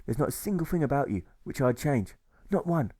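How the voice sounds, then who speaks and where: A man reading a gentle, apologetic young pacifist with earnest, repetitive pleading in an audiobook. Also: medium pitch, expressive, fairly quick, dry recording, voice pushed up, close to an everyday voice. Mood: serene, earnest.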